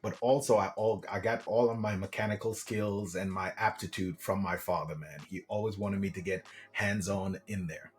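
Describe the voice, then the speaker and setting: A man's voice: low voice, fairly even intonation, normal speed, few pauses. A man from Trinidad and Tobago, a podcast guest telling personal stories in an enthusiastic, conversational way. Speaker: podcast guest, business coach. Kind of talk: casual personal storytelling.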